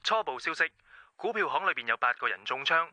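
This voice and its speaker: An actor playing a formal news announcer reading a bulletin in a radio drama. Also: male voice, on the high side, expressive, quick pace, pushed and bright, no echo. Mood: formal, urgent.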